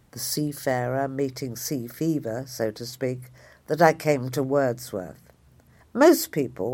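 Woman, 60s, low voice, writer, measured reflective essay reading. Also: darkish tone. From Liverpool area, England.

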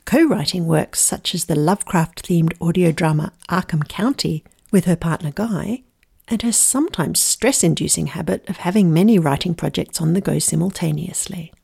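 A woman's voice: fairly low pitch, moderate pace, gravelly, darkish tone, slightly rough. A woman reading a scripted podcast introduction as the announcer.

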